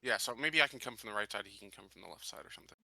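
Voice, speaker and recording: A man's voice: on the low side, lively intonation, racing, vocal fry, bright, forceful tone, no echo. A man playing a tabletop game, chatting casually through his plans as a player. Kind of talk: casual game strategy chat.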